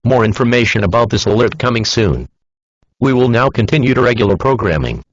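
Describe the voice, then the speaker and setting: A man's voice: medium pitch, animated, a little fast, slight background noise. A man's synthetic text-to-speech voice reading a scripted emergency broadcast announcement in a formal, instructional manner. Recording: dull lo-fi emergency broadcast audio.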